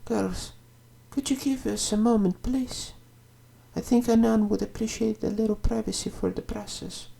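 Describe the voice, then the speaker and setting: A woman voicing a caring middle-aged ankylosaur mother in an audio drama, gently spoken with a soft husky voice. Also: on the high side, steady tone, moderate pace, exaggerated voice. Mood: gentle, regretful. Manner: gentle, mixes in Spanish endearments.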